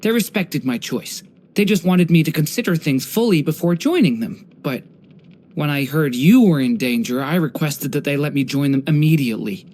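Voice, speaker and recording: Adult actor as young dragon boy, video game character, earnest, soft-spoken, curious, courteous, hesitant. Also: mid-range voice, natural voice. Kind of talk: scripted game character voice lines.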